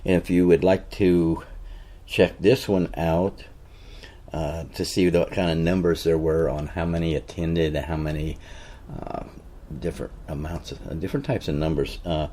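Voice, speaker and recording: A man's voice: deep voice, little pitch movement, normal speed, dark tone, a little hiss or hum. A man hosting a casual podcast, rambling a little with fillers and restarts. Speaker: podcast host.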